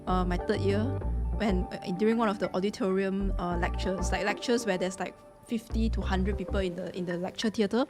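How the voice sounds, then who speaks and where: A young woman streams her reactions to a game in a casual, chatty way. She is expressive, with a medium-pitched voice and often repeats phrases. Game music plays clearly behind her. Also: fairly quick. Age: young adult.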